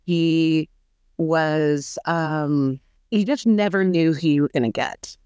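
Woman in her 50s from socal, low-ish smooth voice, earnest and halting, dry close mic. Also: darkish tone, no room sound. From Southern California. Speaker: podcast guest. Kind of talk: personal storytelling interview.

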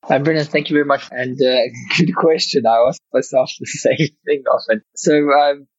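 A man with a South African accent answering interview questions as a company founder, in a relaxed, conversational way. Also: mid-range voice, moderate pace, dry recording, lo-fi sound.

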